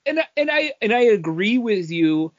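A man's voice: sits high, very animated, moderate pace, dry recording. A man co-hosting a podcast, explaining his view in casual chat. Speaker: podcast co-host. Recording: dull, dry lo-fi audio.

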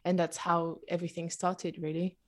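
Woman in her twenties, French accent, casual interview guest, slightly low pitch, little intonation, hesitant filler-filled talk. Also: level tone, moderate pace. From France. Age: 20s. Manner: informal, many hesitant fillers and "like". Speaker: podcast guest, young entrepreneur.

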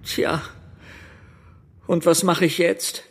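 A man playing a small, gentle, polite star man in a children's audio play, hesitant and a little worried. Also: fairly high pitch, little pitch movement, drawn-out words, husky, heavily acted. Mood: perplexed.

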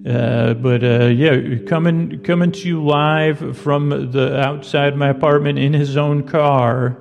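Gravelly, rambling man in deep reverb. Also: fairly even intonation, lo-fi sound. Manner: meandering, halting, repeats words. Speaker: sleep podcast host. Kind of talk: rambling casual bedtime monologue. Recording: long reverb, clear ambient noise behind. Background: ambient sound design.

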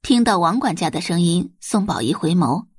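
A woman narrates an audio drama from a script. Her delivery is expressive, with a widely moving pitch and a slightly husky tone, recorded very dry. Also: moderate pace, no echo.

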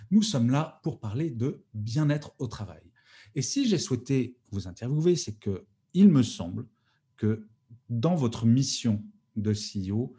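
A man's voice: medium pitch, mellow, husky. A man in his forties hosting a podcast interview, enthusiastic and a little theatrical. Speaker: podcast host.

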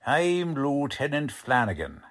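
An actor playing a gruff, sarcastic, tough police lieutenant with an American accent in 1940s radio style, blunt and slangy. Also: male voice, medium pitch, very animated, measured pace, background noise. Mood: gruff, brusque.

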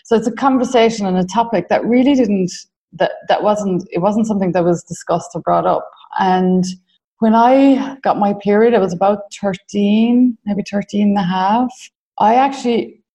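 A woman's voice: mid-range voice, normal speed, warm tone, low-quality recording. An Irish woman in her forties, a podcast guest, answering questions with frequent ums and restarts. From Ireland. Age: 40s. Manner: hesitant, many ums and restarts. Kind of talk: casual interview answers.

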